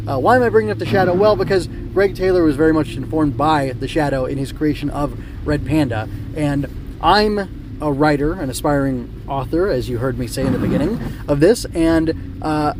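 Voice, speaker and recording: A man's voice: fairly high pitch, background noise. A man hosting a solo podcast, talking off the cuff in a rambling, self-correcting way. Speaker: podcast host. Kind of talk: unscripted solo podcast talk.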